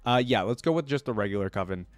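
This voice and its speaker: A young man with a fairly low voice chats away in a relaxed, upbeat way, calling his viewers "guys" and leaning on casual slang. The sound is dry and close, with no room echo. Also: fast. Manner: casual slang, repeats freaking. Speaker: reaction video host. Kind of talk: casual reaction commentary.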